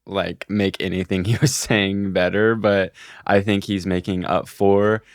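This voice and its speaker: Guy podcast cohost, lowish voice, dry sound, jokey and sweary, says like a lot, halting. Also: flat delivery, dry recording. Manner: sweary, joking slang. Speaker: podcast co-host. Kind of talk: casual chat with friends.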